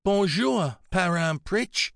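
A younger woman in an audiobook, voiced by a male narrator, tender and anxious. The voice sits well above a normal male pitch and moves expressively, earnest and full of feeling. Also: very animated, measured pace, a bit bright, dry recording, clean studio sound, exaggerated voice. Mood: tender, upset. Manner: pleading, emotional, earnest.

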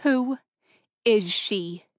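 A woman's voice: medium pitch, extremely slow, no echo. Audiobook role: female reader as betrayed wife, angry, wounded, accusing. Dry studio sound, mid-range voice. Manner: accusing, emotional, pleading for truth. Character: anguished angry wife confronting her husband. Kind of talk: audiobook character dialogue.

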